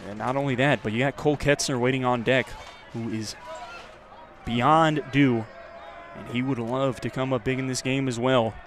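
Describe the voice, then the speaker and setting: A man with an American accent giving live radio play-by-play commentary of a baseball game, measured between plays and louder on the action. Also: mid-range voice, steady tone, a faint crowd, a little hiss or hum.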